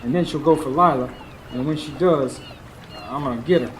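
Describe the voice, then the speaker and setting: An actor playing an earnest, scheming Southern husband in a radio drama, with a folksy, confiding way of talking. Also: male voice, medium pitch, moderate pace, close to an everyday voice, poor audio. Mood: urgent, scheming. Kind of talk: radio drama acting with first-person narration. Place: stage before a live studio audience. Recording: live radio show with clear background noise.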